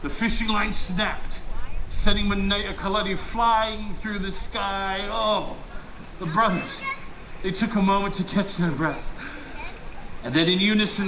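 Guy doing theatrical storytelling live outside, big pauses n stretched words, echoey and noisy. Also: high-pitched. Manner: dramatic pauses, drawn-out emphatic words. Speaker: resort storyteller. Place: outdoor poolside deck at a resort. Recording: roomy, noisy outdoor live recording.

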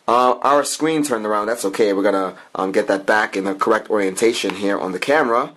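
A man's voice: on the low side, fairly quick, a bit bright. A man hosting an informal, improvised product review, talking through a hands-on demo and often repeating his points. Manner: talks in plural "we", informal, improvised and repetitive. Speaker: app reviewer, channel host.

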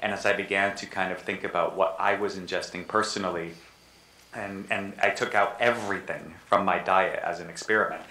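A man's voice: low-pitched, steady tone, fairly quick, bright, forceful tone. A man speaking on a panel about food, earnest and rambling, often restarting his sentences. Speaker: panelist, natural foods school head. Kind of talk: panel discussion. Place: lecture room with audience. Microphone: table mic. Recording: echoey room, faint noise.

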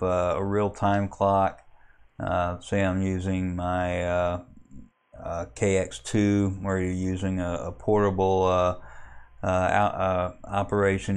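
A man giving an unscripted software walkthrough as a hobbyist, explaining step by step in a plainspoken, halting way. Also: low voice, clean tone. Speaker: amateur radio hobbyist giving a software tutorial.

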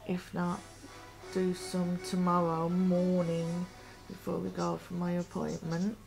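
A young woman vlogging at home through her laptop webcam, talking slowly and quietly with a hoarse, croaky voice from a sore throat. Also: lowish voice, completely flat delivery, faint music behind, poor audio. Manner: slow, rambling and quiet. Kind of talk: casual home vlog. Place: living room at home.

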